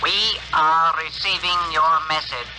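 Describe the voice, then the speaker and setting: A man's voice: fairly high pitch, steady tone, measured pace, natural voice. A man playing a formal alien spokesman in a 1950s radio drama, talking in stilted, literal phrases. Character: alien spokesman, formal, measured, speaking through a translator. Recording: noisy old radio recording.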